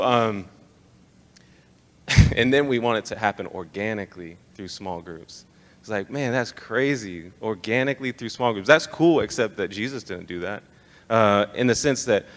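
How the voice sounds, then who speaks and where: A man's voice: fairly low pitch, a bit bright. A young American man giving an informal, emphatic live talk to church leaders, conversational and slangy, often pressing rhetorical questions. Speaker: speaker leading a church leadership training session. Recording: live talk in a reverberant hall.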